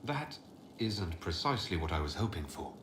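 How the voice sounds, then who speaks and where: A resolute male leader in a fantasy video game. His voice is on the low side, composed and formal, heard in an echoey space with faint noise. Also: big pitch swings, moderate pace. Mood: wry. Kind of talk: scripted video game dialogue.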